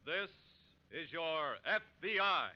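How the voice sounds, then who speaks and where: A man's voice: a little high, lively intonation, slow, slight background noise. A man reading as a solemn, formal 1940s radio announcer on an old broadcast recording. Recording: muffled old radio broadcast, loud music.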